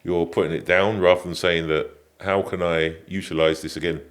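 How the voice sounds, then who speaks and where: Male coach as podcast guest: deep voice, measured delivery, probing questions, conversational. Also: little pitch movement.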